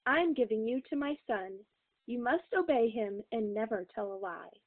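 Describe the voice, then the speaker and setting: A woman's voice: on the high side, normal speed. Woman reading as a stern ailing master, tinny. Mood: commanding. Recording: tinny phone-like recording.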